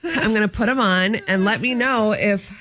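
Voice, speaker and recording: A woman's voice: medium pitch, normal speed, dry recording. A young woman co-hosting a morning radio show, chatting casually and telling stories with a laugh. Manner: animated, laughing storyteller. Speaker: radio co-host.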